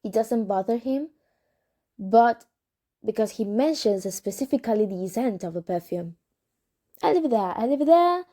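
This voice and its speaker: A young woman whose first language is Spanish, reading a novel aloud in English with a Spanish accent and stopping for casual asides, unhurried and correcting herself as she goes. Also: fairly high pitch, lively intonation, warm tone. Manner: unhurried, hesitant, self-correcting. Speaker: amateur reader of a novel.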